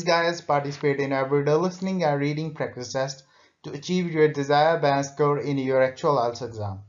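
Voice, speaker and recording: A man's voice: fairly high pitch, slight background noise. A man hosting an exam-practice channel, urging viewers to like and subscribe. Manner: direct appeal to viewers. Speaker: channel host. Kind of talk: channel intro appeal. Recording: dull lo-fi audio.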